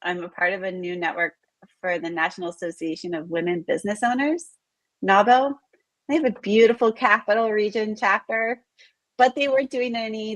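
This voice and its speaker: A woman hosting a live online interview in a chatty way, full of "like" and "um", her voice a little raspy from talking all morning. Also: medium pitch, no room sound. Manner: chatty, filler-heavy, says "like" often. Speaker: host of live interview series. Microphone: video call.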